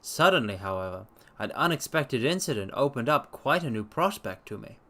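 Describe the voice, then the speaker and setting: A man voicing an earnest, modest young Victorian doctor, formal and measured, in a dramatic story reading. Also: medium pitch, very animated, sharp tone.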